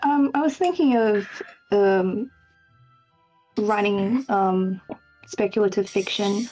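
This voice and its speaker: A woman's voice: medium pitch, takes it slowly, mellow. A woman answering questions in a casual chat as a game writer, hesitant and self-deprecating, on a lo-fi call. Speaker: game writer and project lead. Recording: slightly dull lo-fi online call. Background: background music.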